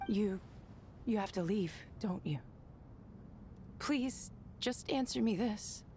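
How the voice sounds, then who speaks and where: A woman playing an earnest, vulnerable young desert warrior in a video game, speaking haltingly. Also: mid-range voice, darkish tone, slight background noise. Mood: pleading. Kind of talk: dramatic video game acting. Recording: faint game sound behind voice.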